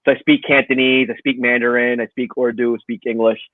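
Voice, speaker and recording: A man's voice: medium pitch, fast, no echo. Chatty man over a tinny call. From Hong Kong. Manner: relaxed, chatty, laughs easily. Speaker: guest. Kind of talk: casual video call chat. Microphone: video call. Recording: tinny phone-like video call audio.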